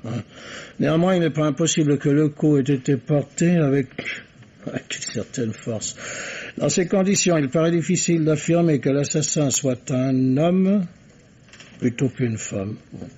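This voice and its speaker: An actor playing a fussy, cautious police commissioner in a French radio mystery play, hesitant and gently pompous in manner. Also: male voice, fairly high pitch, unhurried, slightly rough. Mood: businesslike, musing. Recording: dull old radio recording, loud car noise.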